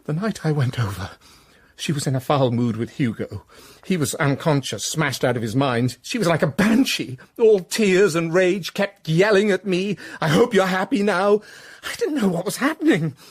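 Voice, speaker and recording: A guilt-ridden, defensive British husband in a radio drama. His husky voice is strained and anguished, with big swings in intonation. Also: a little high, expressive, moderate pace. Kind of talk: emotional radio drama acting.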